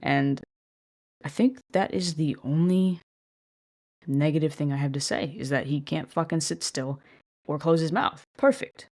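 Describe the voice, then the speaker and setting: A woman hosting her own casual podcast, talking alone into the mic about a show she loves. Her delivery stays flat and monotone, though she is chatty and self-deprecating, with the odd swear word. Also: low-pitched, unhurried. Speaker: podcast host. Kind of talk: casual solo commentary.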